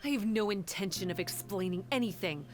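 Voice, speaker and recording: A woman's voice: mid-range voice, expressive, close to an everyday voice. A woman voicing a guarded, solemn young female creature in a video game, formal and grave, bitter toward humans. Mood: cold, defiant. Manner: formal, grave, measured wording. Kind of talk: video game character dialogue. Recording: game music behind the voice. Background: background music.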